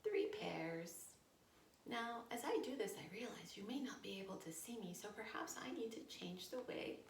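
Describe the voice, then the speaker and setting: A woman teaching yoga storytime to young children, encouraging and playful, with expressive storytelling and hushed, breathy moments. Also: mid-range voice, normal speed. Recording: roomy echo with faint noise.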